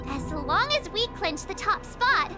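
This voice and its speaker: A woman's voice: extremely high pitch, very animated, a little slow, over loud music, exaggerated voice. An actress playing a cheeky, eager young girl in a video game, perky and informal. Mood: eager, excited. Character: chirpy, cheeky childlike girl, an eager pop-idol fan. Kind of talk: animated video game dialogue.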